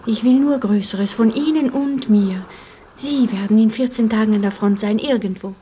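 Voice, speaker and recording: A woman's voice: fairly high pitch, normal speed, warm tone, a little hiss or hum, lightly acted. A woman playing a devoted, resolute woman in wartime, speaking earnestly and solemnly in a German radio play. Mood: solemn resolve. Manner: earnest, declamatory.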